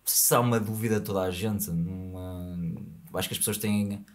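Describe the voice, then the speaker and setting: A man with a European Portuguese accent hosting a podcast, talking frankly and rambling with lots of fillers, in a small studio on a boom-arm mic. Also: fairly even intonation, normal speed. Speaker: podcast host. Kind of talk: casual podcast chat.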